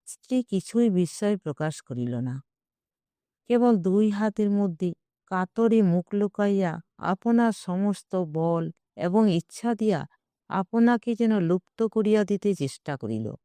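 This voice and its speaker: A woman reading a literary short story aloud as a narrator, in a measured, expressive manner. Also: medium pitch, no echo. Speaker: audiobook narrator.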